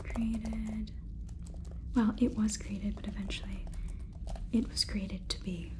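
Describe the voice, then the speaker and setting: A woman's voice: medium pitch, level tone, slow, noisy background, close to an everyday voice. A woman in her twenties voicing a polite, faintly condescending female AI robot overlord in a whispered ASMR roleplay, with stilted, soothing phrasing. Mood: explaining, reassuring. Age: 20s. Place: small room indoors.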